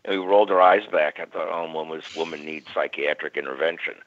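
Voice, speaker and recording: An older male parapsychologist telling stories as a radio guest, lively, acting out quoted voices. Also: rumbling low, a little fast. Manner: animated, acts out quoted voices. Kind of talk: storytelling in a radio interview. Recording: muffled radio audio.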